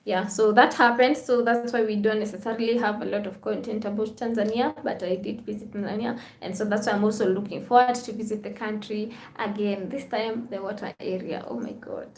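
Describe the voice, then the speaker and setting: A woman's voice: mid-range voice, steady tone, fairly quick, few pauses, echoey room. A woman hosting a live vlog stream, chatting casually with viewers, reading their comments and thanking them often.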